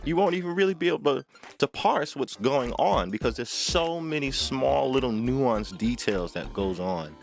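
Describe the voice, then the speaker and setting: A man giving an informal music tutorial, talking emphatically, repeating phrases and often checking in with a short questioning tag. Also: lowish voice. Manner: emphatic, repeats phrases, seeks agreement with "right?". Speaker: music tutorial host, talkbox player. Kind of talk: informal instructional talk to camera.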